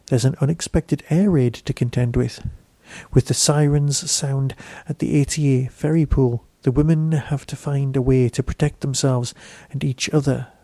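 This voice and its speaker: A man's voice: mid-range voice, mellow, no room sound. A man hosting a podcast, reading out a scripted audio drama review.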